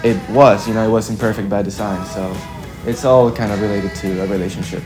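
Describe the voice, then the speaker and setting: Low-voiced young man. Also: steady tone, loud music behind the voice. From Chile. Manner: reflective, halting, with frequent "like" and "kinda". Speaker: musician being interviewed. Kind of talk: casual interview answers.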